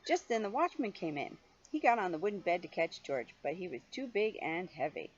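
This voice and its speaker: Animated older woman reading a storybook. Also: fairly low pitch, brisk, dull audio. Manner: expressive, gently questioning the young listener. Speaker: grandmother reading a storybook to a child. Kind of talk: animated children's story reading with chatty asides.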